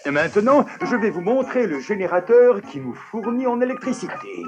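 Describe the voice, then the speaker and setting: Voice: medium pitch, expressive, moderate pace, close to an everyday voice. An actor voicing a flustered adult factory guide in a 1980s cartoon dub, fretting and exasperated. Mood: explaining. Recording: dull lo-fi cartoon soundtrack with music.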